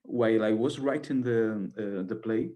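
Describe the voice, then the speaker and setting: A man's voice: fairly low pitch, a little slow, warm tone. A Spanish-accented man, a playwright and native Spanish speaker, hesitantly searching for words in a Q&A.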